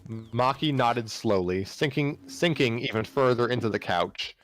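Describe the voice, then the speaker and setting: A man's voice: medium pitch, measured pace. A man narrating fan fiction aloud as an amateur reader, sometimes stumbling and rereading phrases. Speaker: story narrator.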